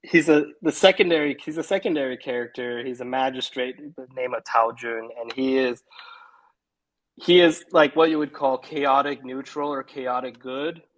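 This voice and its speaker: A man's voice: medium pitch, moderate pace, rough recording. Easygoing man chatting about his writing. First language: English. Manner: easygoing, talks in restarts and asides. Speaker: author guest. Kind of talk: casual video chat interview.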